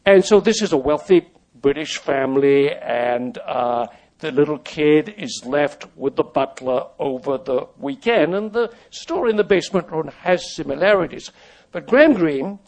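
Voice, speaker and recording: An older man, a native French speaker, recalls his past in a meandering manner, stopping and restarting often. His voice sits a little high for a man. He speaks as a guest in a relaxed conversation. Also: vocal fry. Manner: rambling, halting, with many restarts and fillers. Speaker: interview guest, former child actor. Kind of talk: conversational storytelling.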